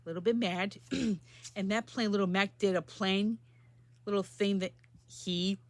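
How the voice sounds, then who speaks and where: Female storyteller, mid-pitched, reading a picture book to children with simple chatty asides. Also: fairly even intonation, measured pace, dry recording.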